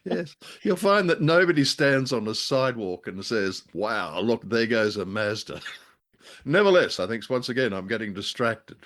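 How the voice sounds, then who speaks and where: A man's voice: mid-range voice, expressive, moderate pace, a bit bright. A man co-hosting a discussion of old radio drama, chatting casually in a hesitant, wry way that tends to wander. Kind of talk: casual chat, review commentary.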